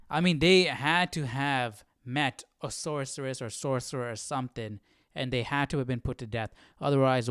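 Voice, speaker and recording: Male study-stream host, informal and questioning, medium-pitched voice, dry close-miked sound. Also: dry recording. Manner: puzzled, questioning, informal slangy talk. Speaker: livestream Bible study host. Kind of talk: casual spontaneous commentary while reading.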